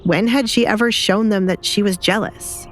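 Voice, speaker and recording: A woman's voice: medium pitch, fairly even intonation, normal speed. A woman reading scripted dramatic fiction as an audiobook narrator, with light noise behind her.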